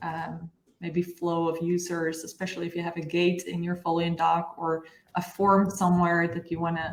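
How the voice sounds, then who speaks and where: A woman's voice: on the low side, level tone, a little fast, echoey room. A woman in her thirties hosting a live online webinar, speaking over a video call from an office room. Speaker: webinar host. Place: bright office room with glass walls, indoors.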